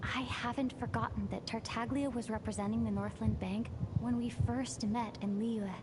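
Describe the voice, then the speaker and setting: A woman's voice: a little high, steady tone, moderate pace, background noise, natural voice. A woman voicing a composed, observant young female onlooker in a video game, thoughtful and questioning. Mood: thoughtful, doubtful.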